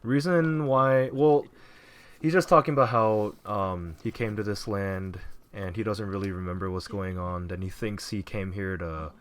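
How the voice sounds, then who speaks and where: A man quietly narrating his video game playthrough in a low voice, rambling casually with fillers. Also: normal speed, clean tone. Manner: relaxed, rambling, frequent fillers. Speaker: let's play gamer. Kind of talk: casual gameplay commentary.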